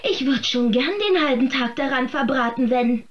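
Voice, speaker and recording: Woman acting a wheedling, grasping schemer, rather high-voiced. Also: fairly even intonation, normal speed, muffled recording, slight background noise, natural voice. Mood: wistful, demanding. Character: scheming, grasping woman who coos and bargains with food. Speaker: actor in an audio drama. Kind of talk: theatrical German radio play acting.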